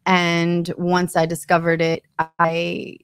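Low-pitched young American woman, casual storyteller. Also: completely flat delivery, slow pace, clear, resonant tone, no room sound. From born in Ecuador, raised in North Carolina from age four. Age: young adult. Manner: informal, frequent "like". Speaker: podcast guest, painter and storyteller. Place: small podcast studio. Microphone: close studio microphone on boom arm.

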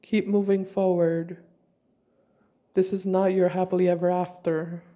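Mellow-voiced woman reading tarot, with a cold. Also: fairly low pitch, level tone. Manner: slow, deliberate, intuitive. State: has a cold. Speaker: tarot reader. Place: home table with tarot cards.

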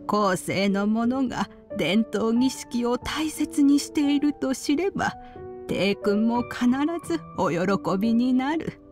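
A woman's voice: a little high, expressive, slow pace, a little mellow. An actress playing a wise, kindly old grandmother in a video game, with archaic phrasing and a nostalgic air. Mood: gentle, encouraging. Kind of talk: video game character dialogue. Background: background music.

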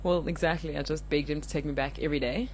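A woman's voice: low voice, fairly even intonation, quick pace, a little mellow, rough recording. An eighteen-year-old woman from Belgium, a racing driver, answering questions in a friendly, giggly way. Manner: friendly, giggly, short replies. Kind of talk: casual interview answers.

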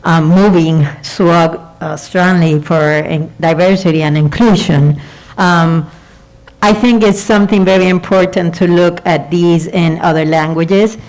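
Low-voiced woman with a Puerto Rican accent. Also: steady tone, a little mellow, poor audio. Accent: Puerto Rican Spanish. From Puerto Rico. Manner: earnest, halting with fillers. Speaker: patient advocate.

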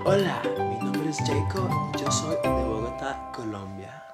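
A man's voice: mid-range voice, animated, a little slow, over loud music. A young man from Honduras chatting playfully with his partner on a home vlog, clowning around with voices. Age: young adult. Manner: playful, mimics accents for laughs. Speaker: vlogger. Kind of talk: casual chat. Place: living room at home, indoors.

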